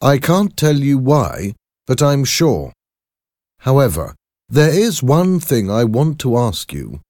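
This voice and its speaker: A man voicing an anxious, secretive gentleman doctor in a storybook, speaking emphatically and earnestly. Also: mid-range voice, sing-song, slow, dry recording, studio quality, voice pushed up. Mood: uneasy, earnest. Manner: emphatic, earnest, agitated delivery. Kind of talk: audiobook character acting.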